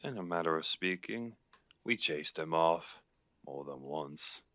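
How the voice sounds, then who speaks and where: A man acts out a guarded Irish werewolf alpha for an audio drama. His voice sits deep in the register and is recorded dry. He chooses his words deliberately and gravely, like a seasoned pack leader. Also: lively intonation, bright, forceful tone, dry recording, exaggerated voice. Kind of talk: audio roleplay acting.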